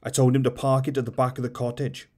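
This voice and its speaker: A man hosting his own channel, reading a book of light-hearted short stories aloud in a steady, measured way. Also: medium pitch. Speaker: audiobook reader and channel host.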